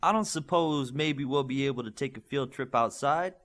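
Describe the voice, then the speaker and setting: A man's voice: medium pitch, moderate pace, a bit bright, pitched above the performer's own voice, close to an everyday voice. A man voicing a boastful, easily frustrated young superhero student in an audiobook. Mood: cocky.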